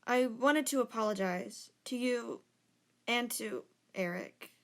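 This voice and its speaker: A woman's voice: fairly high pitch, slow, vocal fry, a bit bright, full character voice. A woman playing a young journalist, earnest and hesitant, in an amateur audio drama. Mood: remorseful.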